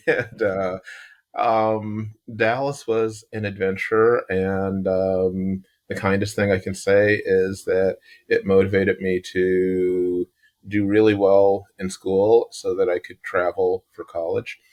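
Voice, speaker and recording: Low-voiced Chicago man in his sixties. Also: little pitch movement, darkish tone, highs cut off. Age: 60s. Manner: unhurried, pauses often to find words. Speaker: podcast guest. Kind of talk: relaxed interview storytelling.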